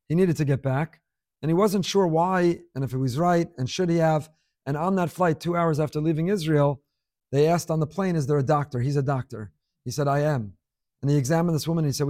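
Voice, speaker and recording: A man delivers a spoken Torah class in an earnest, conversational tone, leaning on repetition to drive his points home. His voice sits a bit higher than most men's, and the sound is close and dry with no room around it. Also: a little mellow, dry recording. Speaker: rabbi giving a class.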